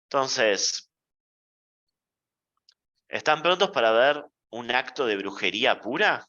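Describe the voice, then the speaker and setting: Slow, lively male lecturer. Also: mid-range voice, dull audio. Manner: playful, deliberate, checks in with the class often. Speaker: university math lecturer. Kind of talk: classroom lecture.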